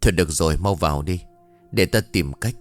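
A man's voice: sits low, brisk, soft background music, close to an everyday voice. A man voicing an old blind fortune teller who speaks in a solemn, grave and authoritative way.

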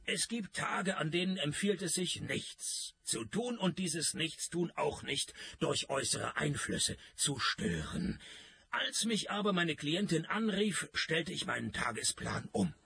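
Radio play lead: sardonic private eye, high-pitched, gravelly, laconic noir delivery. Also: male voice, very animated, a little slow, pushed and bright, full character voice. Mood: dry narration. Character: wry, sarcastic male private detective, narrating his own case. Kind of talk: deadpan noir radio play narration and dialogue.

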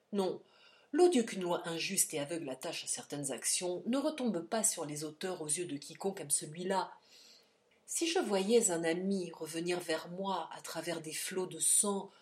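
A woman reading aloud and voicing an old male doctor who lectures in a forceful, emphatic, scornful way. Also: fairly low pitch, lightly acted. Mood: commanding.

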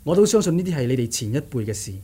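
A man's voice: medium pitch, big pitch swings, rapid-fire, warm tone, husky. A male actor playing a determined, sardonic young martial-arts nephew in a radio drama, theatrical, scoffing and insistent. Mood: earnest, pressing. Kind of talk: Cantonese radio drama acting.

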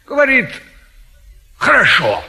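An actor playing an obedient, laconic manservant in a classic comedy audio play, giving terse, dutiful answers. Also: male voice, extremely high pitch, wildly swooping pitch, slow pace, creaky, sharp tone, heavily acted. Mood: dutiful, stolid.

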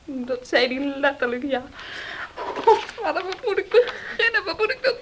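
An actress in a Dutch radio play playing a young unmarried daughter, meek yet defiant and troubled. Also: female voice, high-pitched, sing-song, moderate pace, noisy background. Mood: tearful despair.

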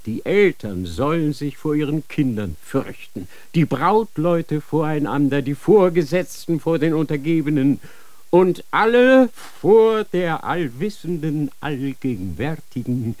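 A fanatical inquisitor in a radio play, preaching to his son about power and fear. He speaks slowly, with a voice that rises and falls widely, solemn and insistent. The sound is close and dry, like a studio. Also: mid-range voice, darkish tone, no echo. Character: stern fanatical male church inquisitor, a father. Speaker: inquisitor father. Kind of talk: radio drama acting.

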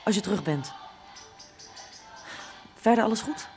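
A woman's voice: mid-range voice, expressive, measured pace, darkish tone. An overburdened petty dealer in a radio play. Her mid-range voice is direct and pressing, with faint music underneath. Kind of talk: naturalistic radio drama acting.

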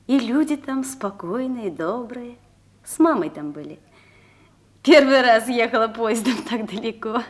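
A woman's voice: fairly high pitch, expressive, measured pace. An actress playing an earnest, dreamy young woman in a radio drama. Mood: dreamy admiration. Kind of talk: theatrical Russian radio drama.

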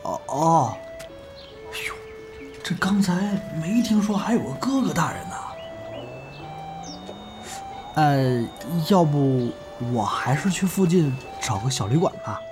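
A man's voice: on the high side, sing-song, a little slow. A man with a northern Mainland Chinese accent, acting a genial, streetwise young comic performer in an audio drama. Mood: awkward. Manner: colloquial, placating. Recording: music behind the voice.

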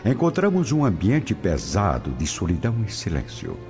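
A man's voice: low-pitched, huge pitch swings, moderate pace, a little mellow. A man narrating a radio soap opera from a script in a theatrical, solemn storytelling manner. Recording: dull lo-fi audio with music. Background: dramatic background music.

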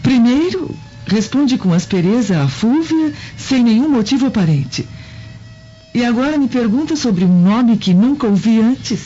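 An actress in a radio drama playing a gentle, candid Roman wife who questions softly. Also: female voice, a little high, animated, a little slow. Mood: puzzled, reproachful. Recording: muffled radio drama, faint music.

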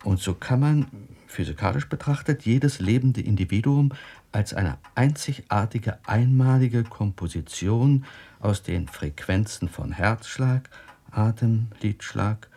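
A reflective doctor in a radio drama. The voice is deep and mellow with a creaky grain. He explains in a composed, measured manner over faint noise. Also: animated, heavily acted. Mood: explanatory. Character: thoughtful, composed male doctor explaining a mystery.

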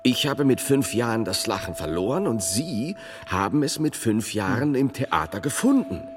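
A man's voice: medium pitch, big pitch swings, close to an everyday voice. Man playing a solemn medieval theologian, wildly varying intonation. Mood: reasoning. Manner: solemn, formal, deliberate. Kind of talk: radio play acting. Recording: long reverb of a tunnel, music behind.

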